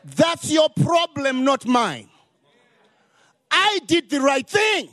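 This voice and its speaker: A man's voice: extremely high pitch, wildly swooping pitch, takes it slowly, pushed and bright. Zimbabwean-accented older man preaching. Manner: emphatic preaching with rising exclamations. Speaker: pastor. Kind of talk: sermon.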